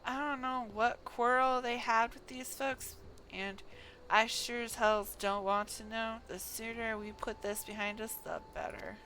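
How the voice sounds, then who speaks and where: A woman's voice: a little high, fairly even intonation, a little slow, a bit bright, slight background noise, poor audio. A woman voicing a grumbling fantasy game shopkeeper, with rustic, colloquial speech and dropped consonants. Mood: grumbling, weary.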